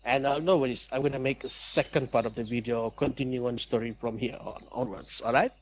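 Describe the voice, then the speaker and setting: A man's voice: medium pitch, steady tone, fairly quick, a little hiss or hum. A man hosting a gaming video, reading a visual novel aloud expressively and adding his own commentary. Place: small indoor room, seated at webcam. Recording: slightly dull, lo-fi microphone sound. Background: faint game music.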